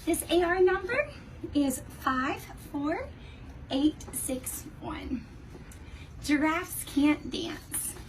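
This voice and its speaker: High-voiced, slow woman reading to children. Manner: expressive, deliberate, addressing children. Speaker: teacher reading a story to children. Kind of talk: read-aloud children's storytelling. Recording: distant mic with faint noise.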